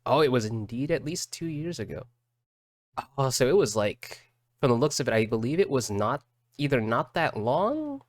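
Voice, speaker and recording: A man doing casual live reaction commentary as a streamer, halting and repeating words as he thinks aloud. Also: mid-range voice, sing-song, dry recording, clean studio sound. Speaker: streamer reacting to game videos.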